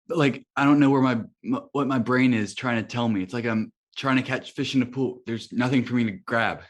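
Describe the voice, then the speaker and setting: A man playing an anxious superhero newcomer, speaking haltingly in a scripted table read. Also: mid-range voice, lightly acted. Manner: halting, unsure. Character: anxious, hesitant young male superhero newcomer.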